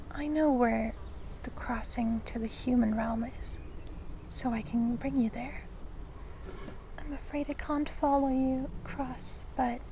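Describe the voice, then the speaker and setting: A woman voicing a gentle, curious fae in an ASMR fantasy roleplay, soft-spoken and often slipping into whispers, hesitant and kindly. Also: on the high side, low-quality recording. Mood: soft, welcoming. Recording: clear background noise of forest ambience.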